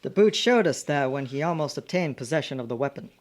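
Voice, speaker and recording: A woman playing an earnest, excitable man, a hero's loyal companion, in an old-time radio serial. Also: extremely low pitch, fast.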